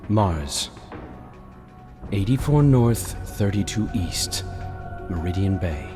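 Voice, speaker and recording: Haughty game-cutscene aristocrat: low-voiced, languid, mocking drawl over music. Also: male voice, animated, slow pace. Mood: measured. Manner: drawling, sardonic. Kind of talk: video game cutscene acting. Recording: music behind.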